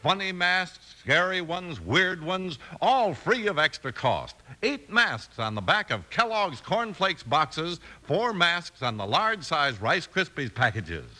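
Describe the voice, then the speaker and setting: A man's voice: fairly high pitch, big pitch swings, takes it slowly, hardly any pauses, pushed and bright. An American man announcing a 1950s radio show from a script, with emphatic, dramatic delivery. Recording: old radio broadcast over music. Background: music.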